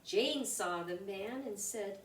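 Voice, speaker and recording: A woman reading a rhyming children's picture book aloud in an expressive, deliberate way for young listeners. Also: medium pitch. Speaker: storybook reader. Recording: roomy, distant mic with background noise.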